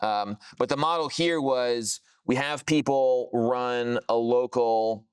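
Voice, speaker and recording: A man's voice: mid-range voice, slow, clean tone, dry recording, clean studio sound. A man giving an informal product demo, explaining technical things casually with plenty of jargon. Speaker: software founder presenting a product.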